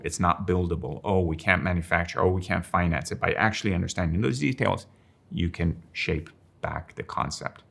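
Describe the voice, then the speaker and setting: An older man with a deep, gravelly voice talks in a calm, reflective way. He slows down to stress his key words, thinking each point through. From Finland, grew up in Rome. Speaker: strategic designer and professor. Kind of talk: reflective interview monologue.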